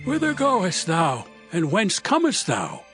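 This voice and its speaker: A man's voice: high-pitched, huge pitch swings, slow, fairly bright tone, faint music behind, full character voice. A man playing a hospitable, protective old man in a dramatized scripture reading, speaking archaic English in an earnest, imploring way. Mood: kindly concern.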